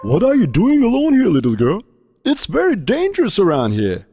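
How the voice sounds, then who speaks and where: A man's voice: high voice, huge pitch swings, normal speed, mellow, soft background music, full character voice. A man playing a bold, protective hunter in a children's storybook, speaking in an emphatic, theatrical manner.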